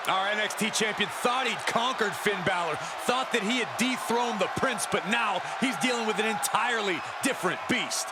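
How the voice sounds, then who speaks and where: A male wrestling color commentator for a video game. He is wry and opinionated, with a high voice and pointed emphasis, over loud noise. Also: normal speed, hardly any pauses. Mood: serious.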